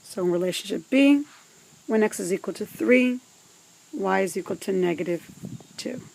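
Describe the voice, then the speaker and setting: A woman teaching math step by step, speaking in a deliberate, instructive way with pauses between phrases. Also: medium pitch, expressive, gravelly, a little mellow, rough recording. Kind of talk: scripted step-by-step instructional narration.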